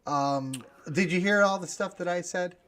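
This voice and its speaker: A man's voice: high voice, normal speed, a bit bright. Hesitant man hosting a podcast. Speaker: podcast host. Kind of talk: casual hosting talk.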